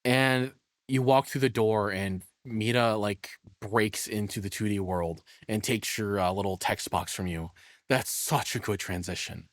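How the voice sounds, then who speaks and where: Male streamer, lowish pitch, informal rambling opinions, close dry sound. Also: steady tone, measured pace, no echo. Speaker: reaction streamer. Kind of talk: casual spoken reaction commentary.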